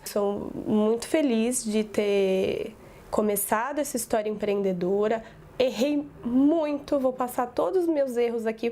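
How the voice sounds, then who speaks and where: Woman, thirties, rambling storyteller, slightly high, mellow voice, home office. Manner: rambling, self-correcting, conversational. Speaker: communications business owner and professor. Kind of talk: casual personal storytelling to camera.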